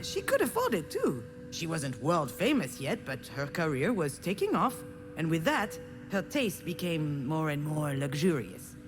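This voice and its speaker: A woman's voice: sits low, wildly swooping pitch, unhurried, music in the background, full character voice. An actress playing a frustrated, self-deprecating woman who narrates her family wounds in a confiding, bitter game monologue. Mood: bitter, resentful. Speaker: visual novel protagonist. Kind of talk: dramatic first-person game narration.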